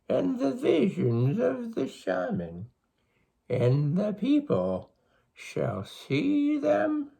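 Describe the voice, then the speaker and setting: A storybook reader voicing a solemn old grandfather from a dream vision, speaking in grand, drawn-out phrases. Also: mid-range voice, creaky, mellow, pitched above the performer's own voice, full character voice.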